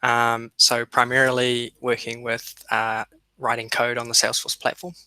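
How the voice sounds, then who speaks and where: A man's voice: medium pitch, completely flat delivery, moderate pace, bright, forceful tone, clean tone, no echo. A man, a technical lead, introducing himself modestly on a video call. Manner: modest, self-deprecating.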